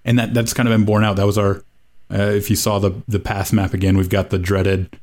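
Wry low-voiced man analyzing soccer. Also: flat delivery, fairly quick, a little mellow. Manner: wry, rambling analysis with fillers and laughs. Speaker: podcast co-host. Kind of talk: casual soccer podcast chat.

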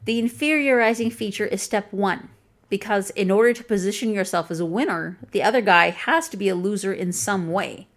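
A woman with a flat Chicago accent delivering scripted commentary in a wry, pointed and dryly humorous way. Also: medium pitch, a little fast. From Chicago, USA. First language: English. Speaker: video essayist.

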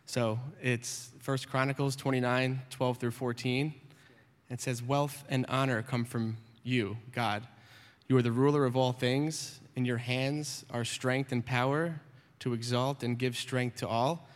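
Guy sharing his testimony in church, even mid voice, hall reverb. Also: medium pitch, unhurried. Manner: relaxed, reads scripture steadily. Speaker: church member giving testimony. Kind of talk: testimony with scripture reading. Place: church sanctuary.

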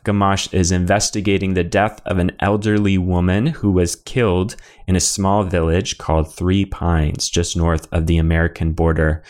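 This is a man hosting a podcast, with a voice that sits low in pitch. He reads his scripted lines in an upbeat way. Between the scripted parts he slips into casual conversation with his co-host.

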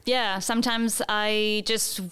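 A woman's voice: mid-range voice, little pitch movement, slow, pushed and bright, clean tone. A woman from Chile, a photographer chatting casually in an interview, with many fillers and restarts and frequent laughs. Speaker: photographer and guest.

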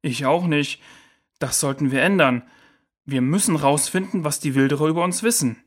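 A man's voice: on the high side, moderate pace, lightly acted. A man playing a serious, protective character who speaks directly in a children's audio drama. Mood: serious, concerned.

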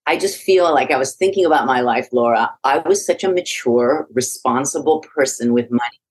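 A woman's voice: a bass voice, normal speed, husky, poor audio. A middle-aged woman from Iraq, a podcast guest telling her life story in a frank, reflective way with frequent restarts. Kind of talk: conversational interview.

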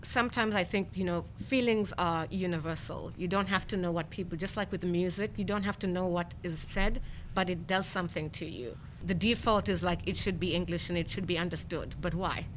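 Thoughtful low-voiced woman poet. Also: fairly quick, few pauses, slight background noise. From Zimbabwe. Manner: thoughtful, questioning, frequent murmured agreement. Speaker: poet and storyteller guest. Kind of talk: reflective conversation.

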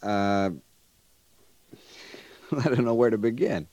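An actor playing an earnest young cavalry lieutenant in a radio drama, with a polite, gentle, formal manner. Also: male voice, medium pitch, takes it slowly, no room sound, lightly acted. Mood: kind, bashful. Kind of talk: 1950s radio drama acting. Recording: hissy vintage radio recording.

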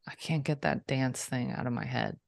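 Podcast co-host, man, mid-pitched, gravelly, loose and chatty. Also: normal speed, no echo, studio quality. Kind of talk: casual chat.

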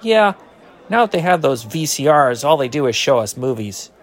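A man's voice: mid-range voice, big pitch swings, moderate pace, lightly acted. A man playing an eager, bashful but brash ninth-grade boy in an audio drama. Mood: eager, sheepish.

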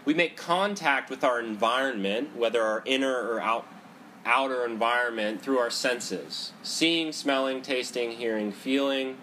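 Loud-voiced man teaching with a head cold. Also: mid-range voice, a little slow, slight background noise. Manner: loud talker; conversational, frequent "right?" and "you know". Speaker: meditation teacher. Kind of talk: Buddhist psychology talk to a group. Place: indoor room with a group, seated cross-legged.

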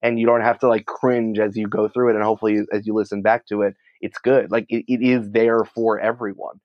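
A man talking casually as an interview guest, in an excitable, rambling, informal way peppered with filler words. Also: fairly low pitch, a little fast, no echo, dull audio. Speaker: podcast writer and producer, interview guest.

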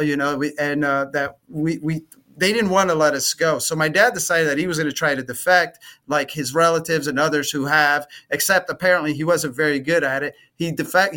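Earnest man in his forties, bright voice. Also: fairly high pitch. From Romania, raised in Atlanta. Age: 40s. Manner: earnest, halting, many fillers and restarts. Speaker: guest. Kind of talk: conversational interview answers.

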